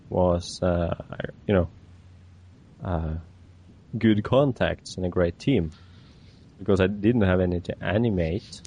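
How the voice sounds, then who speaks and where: A man from Norway speaks English, his showing through. His voice is deep and mellow with a gravelly edge. He talks haltingly and fills his pauses with "uh" and "you know.". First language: Norwegian. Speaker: guest creator of an animated video. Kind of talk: casual podcast chat.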